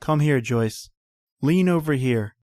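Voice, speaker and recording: An actor playing an obsessed inventor in an old time radio horror drama, coaxing and insistent with an eerie cheer. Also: male voice, medium pitch, slow pace, warm tone, dry recording, studio quality, lightly acted.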